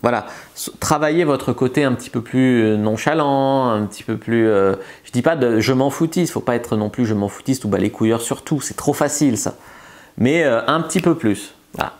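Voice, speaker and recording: A man's voice: medium pitch, moderate pace, a little mellow. Casual, chatty male seduction coach. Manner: loose and chatty, frequent filler words and restarts. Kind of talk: casual advice monologue to camera.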